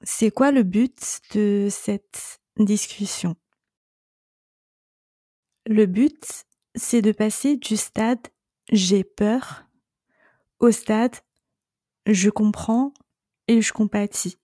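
A middle-aged woman hosting a solo coaching podcast, speaking calmly and reassuringly straight to her listener. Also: mid-range voice, very slow, pauses often, dry recording, clean studio sound. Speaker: separation coach and podcast host.

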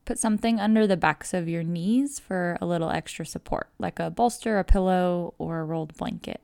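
A woman guiding a relaxation meditation, speaking in a calm, measured, soothing way with pauses between phrases. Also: on the low side, creaky, no room sound, clean studio sound. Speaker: yoga nidra guide. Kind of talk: guided meditation narration.

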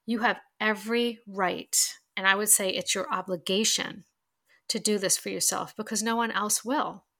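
A woman talks through self-help advice in a loose, chatty way, with lots of fillers and restarts. Her voice is bright and forward but stuffed-up and nasal from allergies. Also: medium pitch, fairly even intonation, normal speed. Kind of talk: casual advice talk to camera.

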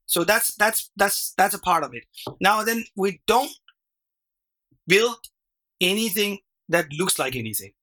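A man whose first language is Danish, a workshop facilitator explaining his method as a podcast guest, animated and full of restarts and "right?". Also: sits high. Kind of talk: conversational interview.

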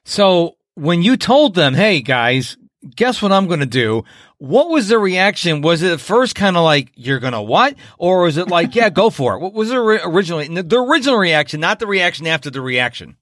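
Guy hosting a podcast, kinda high voice, laughs a lot, dry close sound. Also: sing-song, normal speed, a bit bright, no echo. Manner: laughs often, playful teasing. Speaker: podcast host. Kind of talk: casual interview chat.

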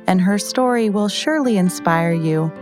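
A woman's voice: medium pitch, lively intonation, moderate pace, a little mellow. A woman reading a scripted podcast introduction. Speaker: podcast host and announcer. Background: music under the voice.

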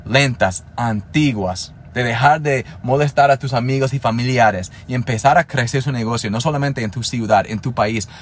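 A man's voice: mid-range voice, slight background noise. Earnest Spanish-speaking man, motivational podcast. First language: English. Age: 30s. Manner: earnest, motivational, with frequent restarts and fillers. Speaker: podcast host. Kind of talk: solo podcast monologue.

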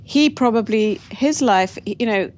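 A woman's voice: a little high, moderate pace, a little mellow. A woman, a guest in an interview, telling her family story in a reflective way with frequent restarts. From England. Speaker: guest author. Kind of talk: interview conversation. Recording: dull lo-fi audio with faint noise.

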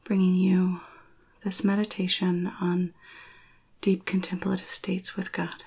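A woman leading a spiritual guided meditation in a hushed, breathy near-whisper, slow and soothing with long pauses. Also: on the low side, completely flat delivery.

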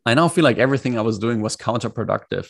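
A man's voice: medium pitch, very fast, vocal fry, husky. A man whose first language is German, speaking as a coach in a casual video-call interview, reflective and hesitant, often restarting his sentences. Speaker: coach, guest.

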